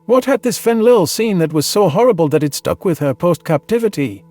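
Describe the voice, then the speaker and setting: A man reading a dramatized science fiction story in the first person, earnest and measured with a dramatic delivery. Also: sits high, lively intonation, dark tone, soft background music. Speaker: audiobook narrator.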